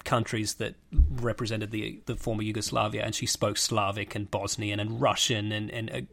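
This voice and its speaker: A man co-hosting a casual podcast chat, swearing freely and telling stories with animated quoted voices. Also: lowish voice, vocal fry. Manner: swearing, quick to react, animated storytelling.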